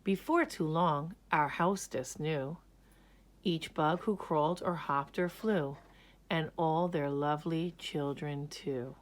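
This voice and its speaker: A woman reading a children's story aloud in a measured, expressive storytelling manner. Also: deep voice. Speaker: picture book reader.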